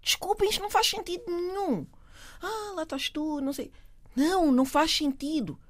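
A middle-aged woman with a European Portuguese accent, chatting as a guest, animated, laughing often and repeating words while she searches for them. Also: very high voice, moderate pace. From Portugal and Cape Verde. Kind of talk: casual conversation.

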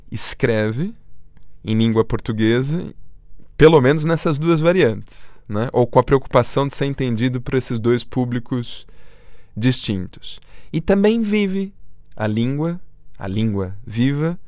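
A man's voice: mid-range voice, expressive, takes it slowly, a little mellow, dry recording, clean studio sound. A man interviewing a guest, asking long thoughtful questions and adding brief affirmations. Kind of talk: conversational interview.

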